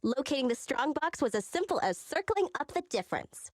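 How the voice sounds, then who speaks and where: A woman's voice: sits high, sing-song, brisk, dry recording. A woman voicing a sly, self-assured young crew boss in an anime-style game, breezy, boastful and bossy. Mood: smug, confident.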